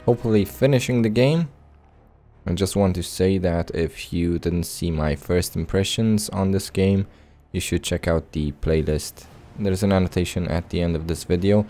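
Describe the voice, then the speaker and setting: Male let's play host, casual commentary, low and mellow. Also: moderate pace. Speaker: gaming video host. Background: game music.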